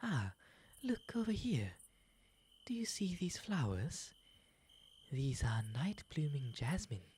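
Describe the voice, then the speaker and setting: A woman voicing a gentle, refined male owl demon in an ASMR roleplay, softly and breathily, mostly whispering, with formal, courteous phrasing. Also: low voice, big pitch swings, takes it slowly, pauses often, a little hiss or hum, exaggerated voice. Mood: gentle, curious.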